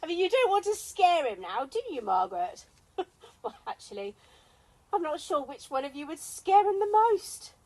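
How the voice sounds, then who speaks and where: A woman's voice: high voice, sing-song, moderate pace, a little mellow, rough recording. A woman playing a teasing, theatrical, gossipy British tennis club member in an amateur radio comedy. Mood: mocking, amused.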